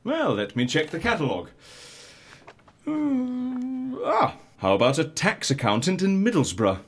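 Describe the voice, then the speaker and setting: An actor with a British accent playing an eccentric, genial agency fixer in a scripted audio comedy, coaxing and wry. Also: male voice, high voice, huge pitch swings, measured pace, heavily acted. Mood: brisk, pleased. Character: eccentric, genial male agency fixer, cocoa-loving and breezy.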